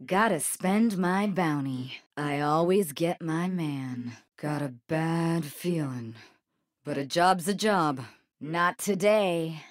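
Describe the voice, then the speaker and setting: An actress voicing a cocky, wry female bounty hunter sniper from a video game, with a swaggering, deliberate delivery. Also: deep voice, dry recording, clean studio sound. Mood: cool, cocky. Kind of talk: video game voice lines, short combat barks and quips. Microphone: close studio microphone, dry.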